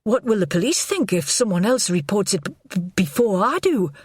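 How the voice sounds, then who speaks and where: A woman's voice: mid-range voice, big pitch swings, moderate pace, a little mellow, husky, no room sound, clean studio sound, voice pushed up. A woman reading the part of a nervous, stammering middle-aged man in an audiobook. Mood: anxious. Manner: stammering, anxious. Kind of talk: audiobook character voice.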